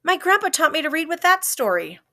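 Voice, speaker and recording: Woman playing an excitable, wonder-struck young storybook child. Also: very high voice, fairly quick, pitched above the performer's own voice, full character voice. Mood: excited. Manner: excitable, exclaiming, hushed at tender moments.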